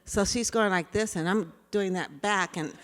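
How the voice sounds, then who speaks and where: A woman in her fifties with a quiet voice, giving a conversational workshop talk full of personal stories. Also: medium pitch, normal speed. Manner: storytelling, conversational. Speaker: diversity trainer.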